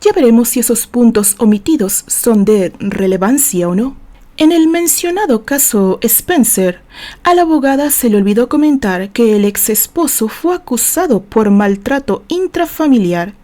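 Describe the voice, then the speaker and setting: An assured defense attorney read by a woman addresses the court in a soft, mellow tone that sits fairly high. The delivery is formal and rhetorical, with pointed emphasis, in the manner of a dramatized novel. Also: expressive, normal speed, close to an everyday voice.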